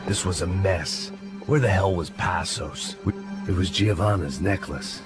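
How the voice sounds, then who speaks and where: A cynical, middle-aged American antihero from a noir video game. His voice sits at the very bottom of the range, dry and sardonic. Loud music plays underneath. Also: low-pitched, measured pace. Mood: bitter, sardonic. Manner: sardonic, deadpan. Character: world-weary, cynical middle-aged American ex-cop gunman. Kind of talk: hard-boiled noir game narration.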